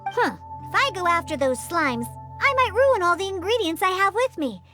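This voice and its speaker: A woman's voice: sing-song, moderate pace, soft background music, heavily acted. A woman voicing a feisty young catgirl bartender in a video game, with a childlike voice and an emphatic, indignant manner. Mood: bossy, urgent. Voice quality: high, bright, childlike. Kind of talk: animated video game character acting.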